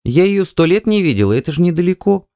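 Guy putting on a timid wife voice, high n lilting, hesitant, trying to smooth things over. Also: big pitch swings, fast, dark tone, no room sound. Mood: hurried, evasive. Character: timid, evasive young wife, placating her husband.

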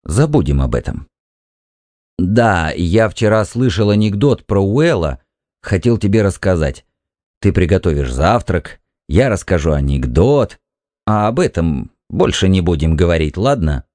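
A man's voice: sits low, sing-song, unhurried, a little mellow, no room sound. A man playing an irritable, demanding, jealous husband in a dramatised audiobook. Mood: weary, subdued. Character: jealous, stern, domineering Martian husband.

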